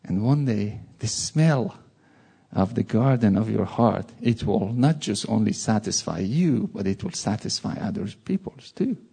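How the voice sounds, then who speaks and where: A man's voice: fairly low pitch, lively intonation, a little slow, creaky, mellow, slightly rough. A man from Iran with a Persian accent, giving an informal sermon with jokey asides. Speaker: preacher.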